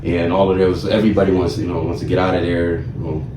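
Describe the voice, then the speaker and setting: Male guest, late twenties, from Ethiopia, deep voice, halting casual storyteller, recorded at a distance in a roomy space. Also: level tone. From Ethiopia, then Buffalo in the US from age eleven. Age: late 20s. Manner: halting, frequent "you know". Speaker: interview guest. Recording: roomy, distant mic, clear background noise.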